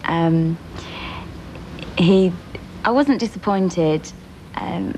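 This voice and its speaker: A woman, a dating show contestant, giving frank, measured answers. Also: on the low side, dark tone, a little hiss or hum, low-quality recording.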